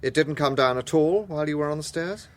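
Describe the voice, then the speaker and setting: An actor playing a shrewd, courteous gentleman police inspector in a British radio drama, with an English accent and a measured, understated delivery. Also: a little high, lightly acted. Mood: firm, questioning.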